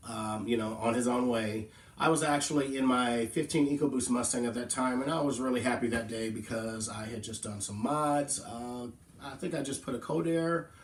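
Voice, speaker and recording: A sick, hoarse man rambles to camera at home, his voice gravelly with fry, full of "uh"s and dry asides. He sits back from the mic in an echoey room with a faint hum of ambience behind him. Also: medium pitch, far from the mic. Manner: rambling, many fillers, wry asides. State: sick, hoarse from cough and flu. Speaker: vlogger. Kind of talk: casual talk to camera. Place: indoors at home.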